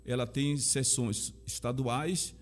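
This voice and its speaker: A man with a Bahian Brazilian accent talks in an earnest, measured way, leaning on repetition to drive his points home. He speaks as a chaplain sharing his faith and his life story in a relaxed conversation. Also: medium pitch. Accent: Bahian Brazilian Portuguese. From Salvador, Bahia, Brazil. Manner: emphatic, repeats key phrases. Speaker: chaplain and guest.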